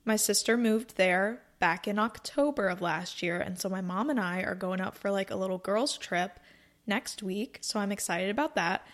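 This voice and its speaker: A woman's voice: mid-range voice, normal speed. A woman in her twenties hosting a casual solo podcast, chatting in a rambling, upbeat way from a cozy room. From North Carolina, US. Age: 20s. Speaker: podcast host.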